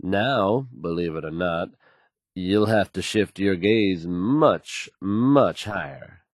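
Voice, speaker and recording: An actor playing a self-assured, smooth male radio DJ in a game, delivering news with polished, wry showmanship. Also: sits low, lively intonation, slow pace, dry recording, studio quality, heavily acted. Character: confident, suave, upbeat male radio DJ.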